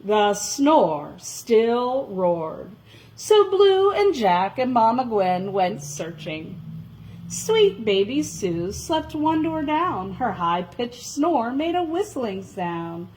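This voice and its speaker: A woman tells a children's story in a slightly high, very animated voice. She takes her time overall and leans into dramatic emphasis, stretching out important words. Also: rough recording. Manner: dramatic, expressive, with drawn-out emphasis. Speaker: storyteller reading a children's picture book.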